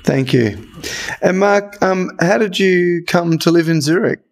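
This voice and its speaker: A man's voice: sits high, a little slow, gravelly. A man from Australia hosting a podcast interview, asking questions and giving brief encouraging replies. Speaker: podcast host.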